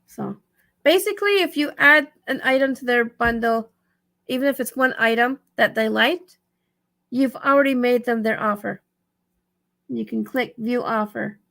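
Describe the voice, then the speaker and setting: Casual female tutorial vlogger, higher register, very creaky and raspy, tired, thinks out loud. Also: normal speed. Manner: rambling, thinking aloud, self-correcting. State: tired, dry-throated. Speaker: vlogger giving reselling tips. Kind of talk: casual screen walkthrough tutorial.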